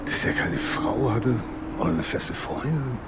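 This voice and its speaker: A man's voice: medium pitch, expressive, measured pace, heavy background noise, lightly acted. A man playing a plain-spoken jobless workmate in a naturalistic radio crime drama, thinking aloud in colloquial speech. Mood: musing.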